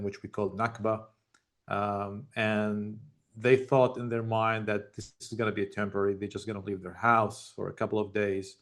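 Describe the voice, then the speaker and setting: Gravelly low-voiced man on a video call. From Jordan. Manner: measured, reflective, with frequent ums. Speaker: tech founder guest. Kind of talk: interview answers over video call.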